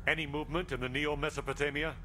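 A man's voice: a little high, level tone, fast, noisy background, close to an everyday voice. A man playing a shrewd, commanding military lord in an anime dub, speaking with authority. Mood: suspicious.

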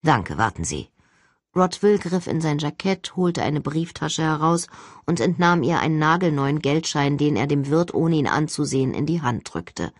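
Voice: mid-range voice, moderate pace, no room sound, clean studio sound. An audiobook narrator reading a novel expressively in a scripted literary style.

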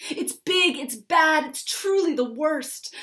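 A hungry young boy-lion from a picture book, acted out by a woman. The voice is high and exclamatory, full of distressed impatience and exasperation. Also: expressive, slow pace, a bit bright, echoey room, exaggerated voice. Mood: wailing despair.

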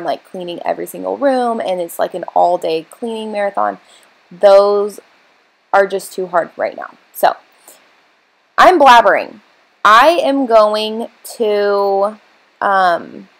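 A woman's voice: medium pitch, poor audio. A woman in her thirties chatting casually to her vlog audience at home, rambling with lots of fillers, recorded by a camera across the room. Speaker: cleaning vlogger. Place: small home, kitchen and living room.

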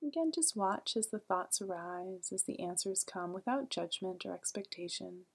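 A woman leading a guided meditation in a calm, gentle, soothing way, with a soft, breathy voice and long pauses. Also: medium pitch.

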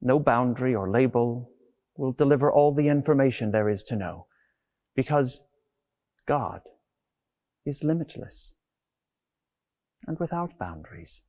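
A middle-aged man preaching a scripted sermon in a measured way, speaking into a lapel mic inside a church. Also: mid-range voice, a little mellow. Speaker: preacher, Anglican clergyman. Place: inside a large stone church.

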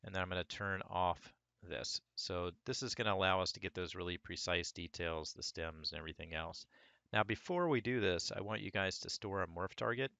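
Low, gravelly man getting over a cold. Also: dry recording. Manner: relaxed, talks through each step as he works. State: hoarse from a cold, voice cracking. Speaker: 3D sculpting instructor. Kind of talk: screen-recorded software tutorial.

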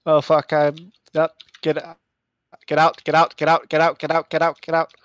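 Male gamer, fairly high voice, dry close sound, mutters and curses mid-game. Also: completely flat delivery, normal speed, fairly bright tone, no echo. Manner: muttering to himself, swearing, sudden outbursts. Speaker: game player. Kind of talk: casual gaming chat.

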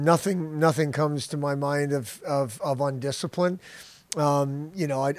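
A middle-aged man, a head hockey coach, answering at a post-game press conference, halting and repeating words but blunt. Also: a little high, dry recording. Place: press room backdrop. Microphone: podium mic.

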